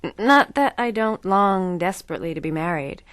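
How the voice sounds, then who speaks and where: Brash, self-absorbed woman author of thirty, played by an actress, rambling and flippant, lowish pitch, satirical radio play. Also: animated, normal speed, fairly bright tone, no room sound, clean studio sound. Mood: manic, rambling. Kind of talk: satirical audio drama.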